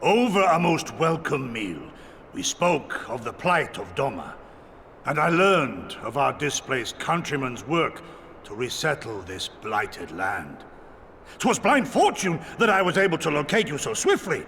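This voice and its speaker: A man playing a hearty, honour-bound warrior retainer with a booming gravelly voice and formal archaic speech, in a video game. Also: very animated, measured pace, a bit bright, a little hiss or hum, full character voice. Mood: earnest. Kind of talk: theatrical video game voice acting.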